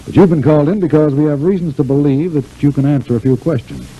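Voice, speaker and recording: Actor as male show boss, very mellow dark tone, firm, businesslike, fatherly, 1940s radio serial, noisy old recording. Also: on the high side, quick pace, natural voice.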